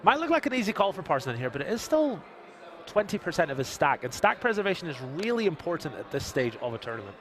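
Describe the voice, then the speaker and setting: A man's voice: on the high side, very animated. A man with a soothing radio voice calls a live poker stream from a casino booth. He reads out the chat and keeps the one-liners coming between hands. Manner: jokey, quick one-liners.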